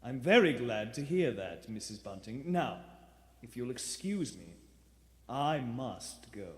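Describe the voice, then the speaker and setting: An actor playing a menacing, pious gentleman lodger in a live radio play, with a formal, severe and theatrical manner. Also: mid-range voice, big pitch swings, slow. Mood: ominous. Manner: formal, severe, theatrical, with sudden sharp outbursts. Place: theatre stage before a live audience. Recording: echoey theatre stage with long reverb.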